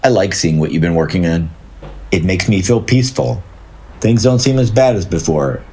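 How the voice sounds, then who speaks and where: A man playing a good-natured, simple plumber in an audio drama, plainspoken, musing and earnest, with a husky voice. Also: low voice, normal speed, dark tone, a little hiss or hum. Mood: sincere.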